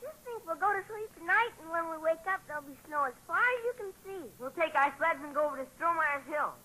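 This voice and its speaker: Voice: very high voice, expressive, fast talker, sharp tone, full character voice, rough recording. A performer playing an eager, trusting young boy in 1940s radio comedy-drama, wide-eyed and insistent, full of boyish slang. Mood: hopeful. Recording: 1940s radio broadcast recording.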